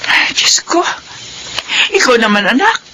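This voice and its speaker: A woman's voice: fairly high pitch, very animated, a little slow, pushed and bright, full character voice. An actress playing a strict, worried mother who scolds her children in a classic radio drama. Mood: scolding. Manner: scolding, exasperated.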